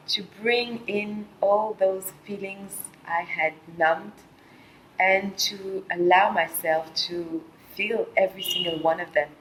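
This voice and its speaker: A middle-aged woman from Colombia speaking softly and haltingly, with frequent pauses and fillers, in a reflective personal monologue. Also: medium pitch, slight background noise, rough recording. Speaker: vlogger sharing a personal experience.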